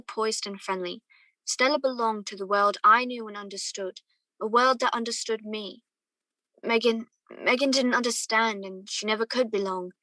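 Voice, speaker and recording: A wistful young gentleman played by a woman tells his love story in a radio play. The voice is bright and forward, recorded close and dry. He sounds earnest and torn. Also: on the high side, no room sound, poor audio. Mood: troubled, pensive. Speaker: student or guest actor in a radio play. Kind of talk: radio play acting with first-person narration.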